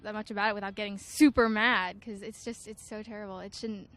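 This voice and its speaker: A woman's voice: mid-range voice, steady tone, a little fast, fairly bright tone. A teenage girl answering a street interview outdoors, earnest and full of fillers. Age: teens. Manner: hesitant, filler-heavy, earnest.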